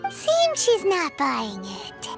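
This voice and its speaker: An actress voicing a tiny, chirpy, cheeky fairy companion who talks about herself in the third person, in a video game. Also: female voice, very high voice, huge pitch swings, drawn-out words, vocal fry, exaggerated voice. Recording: loud game music under voice.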